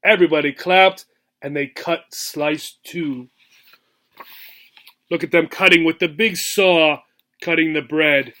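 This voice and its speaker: A man teacher reads a children's rhyming story in a high, bright voice. He goes slowly and expressively, explaining things simply and checking understanding with a short tag question. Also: fairly even intonation. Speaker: teacher reading aloud to English learners.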